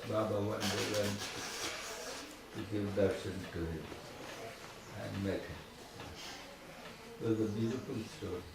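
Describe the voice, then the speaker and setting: An older man recalls a memory unhurriedly, pausing and restarting often and breaking off to cough. His voice sits a little low and comes from some way off the mic. It rings in an echoey room over heavy background noise. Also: fairly even intonation, vocal fry, recorded from a distance. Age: 70s. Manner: slow and halting, with restarts and coughs. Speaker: storyteller recalling his past. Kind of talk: casual reminiscing.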